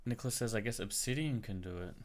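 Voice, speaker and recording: A man's voice: fairly low pitch, quick pace, slight background noise. An Australian man with an American-sounding accent, casually talking to himself and greeting viewers while he searches on his computer during a livestream. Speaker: livestream host. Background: keyboard typing and clicking.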